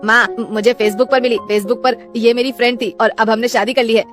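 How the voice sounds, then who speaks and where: A woman's voice: fairly high pitch, fairly quick, music in the background, close to an everyday voice. Woman doing an eager young son. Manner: excited, eager. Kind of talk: cartoon voice acting.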